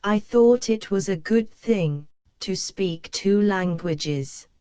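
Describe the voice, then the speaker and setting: A woman reading a children's picture book aloud, slow and careful for young learners. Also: medium pitch, lively intonation, loud music behind the voice. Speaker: storybook reader.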